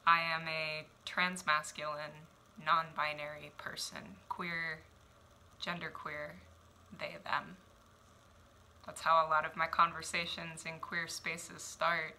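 A woman in her twenties from Oregon performing spoken word poetry at home, measured and reflective, with deliberate pauses. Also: deep voice, little pitch movement, bright, forceful tone, slight background noise. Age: 20s. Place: small home room indoors. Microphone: headphones and webcam.

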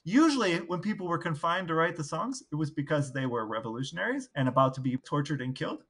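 Male podcast co-host, higher-pitched and bright, relaying wry anecdotes in casual conversation. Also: lively intonation, fast, slightly rough. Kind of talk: casual storytelling chat.

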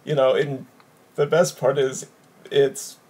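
A man's voice: medium pitch, little pitch movement, slow pace, a little mellow, slightly rough. A man in his thirties from Wisconsin, hosting a casual chat from his living room over a video call, rambling and chuckling. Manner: laid-back, chuckling, rambling. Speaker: podcast host.

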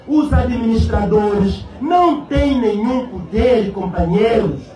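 Angolan guy doing a fiery political speech, really high strained pitch, echoey pa with crowd around. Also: lively intonation, slow pace. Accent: Angolan Portuguese. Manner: impassioned, declamatory, repeats rhetorical questions to the crowd. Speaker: political party secretary addressing a rally. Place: open-sided outdoor rally stand under a canopy. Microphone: cluster of press microphones and PA. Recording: echoing PA, distant, noisy crowd. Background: rally crowd.

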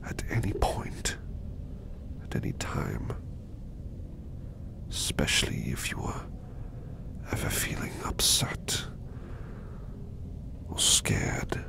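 Man playing a very deep, whispering gentle servant. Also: full character voice. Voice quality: soft, breathy whisper with low creaky rasp. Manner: slow, tender, reassuring, formally polite. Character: gentle, protective male servant soothing his master to sleep. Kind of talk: ASMR roleplay, personal attention.

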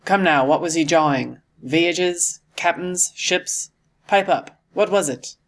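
A man voicing a cheerful but cunning one-legged sailor and tavern landlord in a storybook, with hearty, forceful, salty nautical talk. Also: fairly high pitch, takes it slowly. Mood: scornful.

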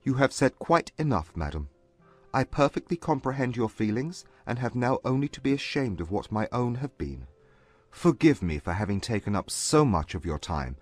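A man acts a proud, indignant gentleman suitor from a period romance audiobook. His husky voice swings widely in pitch through formal, emphatic phrases. The sound is close and dry, with soft music underneath. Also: big pitch swings, normal speed, no room sound. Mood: hurt, stiff. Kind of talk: dramatised audiobook acting.

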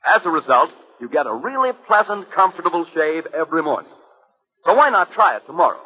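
A man's voice: on the high side, very animated, a little fast, slightly rough. A man working as a commercial announcer, reading scripted advertising in a 1940s radio style. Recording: muffled, reverberant old radio recording.

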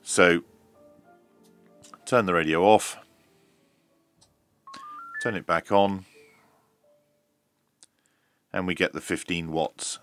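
Deep, bright-voiced man demonstrating equipment. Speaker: radio reviewer. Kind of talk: hands-on demonstration commentary.

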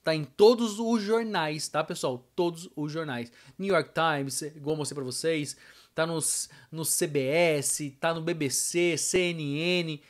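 A man's voice: a little high, a little slow. A middle-aged Brazilian man giving his opinion casually as a vlogger, emphatic and colloquial, speaking straight to his viewers from a room at home. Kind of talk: casual opinion vlog to camera. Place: small home room with arcade machine, indoors.

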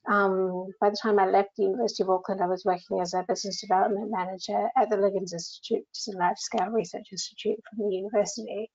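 A woman's voice: mid-range voice, little pitch movement, fast talker, few pauses, a little mellow. A woman with a New Zealand accent, a grants manager giving a conversational webinar talk over a video call. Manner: conversational, with frequent ums. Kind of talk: presenting slides in a webinar. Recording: slightly dull video call audio.

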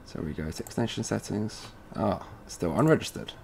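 Low-voiced man giving an informal software walkthrough. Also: expressive, normal speed, gravelly. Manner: casual, thinking aloud step by step. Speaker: tutorial presenter. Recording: noisy room with keyboard typing.

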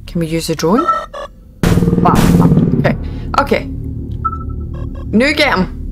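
A woman's voice: on the low side, sing-song, takes it slowly, loud music behind the voice. A Scottish woman in her thirties giving chatty, wry, informal commentary as she plays a video game. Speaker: gaming video host. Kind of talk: casual let's play commentary.

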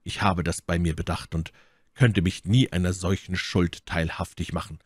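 Man doing devout young officer, deep quiet voice, grave, pleading. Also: fairly even intonation, moderate pace, husky, dry recording, studio quality. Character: earnest young male officer, torn and devout. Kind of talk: audiobook character reading.

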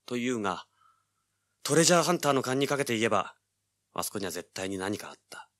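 An actor playing a brash young male treasure hunter in an audio drama, with a rough, slangy, hard-boiled delivery. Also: mid-range voice, expressive, fast, fairly bright tone, close to an everyday voice. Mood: hard-boiled storytelling. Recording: noisy old cassette audio drama.

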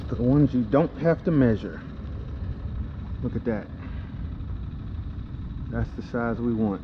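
A man with a Florida accent hosting a kayak fishing video, explaining his rig slowly on the water beneath a pier, recorded on a kayak-mounted action camera. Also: medium pitch. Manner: slow, explanatory, excited when fish bite. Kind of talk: casual on-the-water commentary. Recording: muffled action-cam audio with water noise.

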